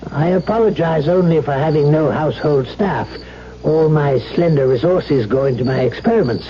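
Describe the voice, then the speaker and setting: An actor playing an old, secretive doctor and possessive father in a 1970s radio drama, with a weighty, halting, formal delivery. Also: fairly high pitch, lightly acted. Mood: grudging courtesy. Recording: muffled, noisy 1970s radio broadcast.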